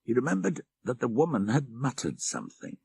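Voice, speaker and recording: A man reading a classic horror story as an audiobook narrator, with measured, literary phrasing and dramatic delivery. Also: medium pitch, wildly swooping pitch, gravelly, darkish tone, breathy edge, no room sound. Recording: 1990s audiobook cassette.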